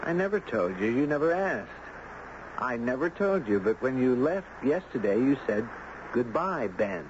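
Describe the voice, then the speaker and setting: An actor playing an easygoing, puzzled dog owner who tells his story in a measured, conversational way in a 1970s radio drama. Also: medium pitch, sing-song, natural voice. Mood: puzzled, insistent. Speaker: actor narrating and playing the lead. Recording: dull, noisy old radio broadcast.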